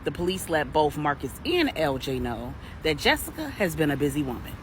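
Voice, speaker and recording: Storyteller, mid-pitched voice, colloquial, vivid, dramatic narration for adults, slight background noise. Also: sing-song, brisk.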